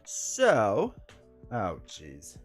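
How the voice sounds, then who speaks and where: A stuffed-up man with a cold talks on and on in a loose, chatty ramble, his fairly low voice swinging up and down with lots of life. He drifts from aside to aside, filling gaps with ums and uhs as he sets up a board game on a live stream. Soft music plays faintly underneath. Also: wildly swooping pitch, extremely slow, husky. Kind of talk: casual live-stream chat.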